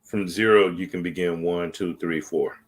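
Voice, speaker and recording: Man, low voice, emphatic and profane in casual conversation. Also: fairly even intonation, normal speed, rough recording. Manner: emphatic, swears freely. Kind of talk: casual chat.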